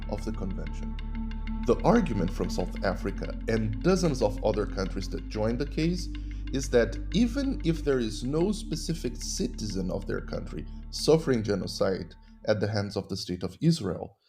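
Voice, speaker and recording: A man with a Brazilian accent, a native Portuguese speaker, hosting a podcast and explaining legal ideas in measured, teacherly narration. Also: on the low side, very animated, over music. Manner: measured, didactic. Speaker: podcast host and human rights lawyer.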